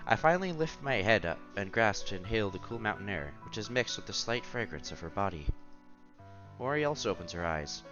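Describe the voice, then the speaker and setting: A man's voice: on the low side, highs cut off. A man reading visual novel narration aloud as a streamer, expressively, with casual asides and exclamations.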